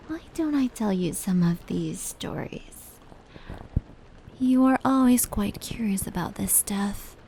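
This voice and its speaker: A woman voicing a playful, caring fox spirit in a soft, breathy ASMR roleplay, gentle and teasing as she tells stories. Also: mid-range voice. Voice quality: soft, breathy, often near whisper. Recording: steady rain and brushing noise layered in. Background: indoor rain and brushing sounds.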